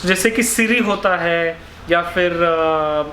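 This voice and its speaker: A high-pitched male voice with an Indian accent explains a product in an informal, hesitant way. He switches in and out of English. The recording is echoey, with faint noise behind him. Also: very slow. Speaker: motorcycle gear store representative. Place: motorcycle accessories store. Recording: roomy echo, faint background noise.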